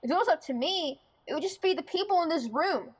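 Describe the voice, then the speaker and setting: A woman's voice: extremely high pitch, lively intonation, fast talker. A woman playing a blunt, practical young bride-to-be in an audio drama, wry and informal. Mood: reluctant, grumbling.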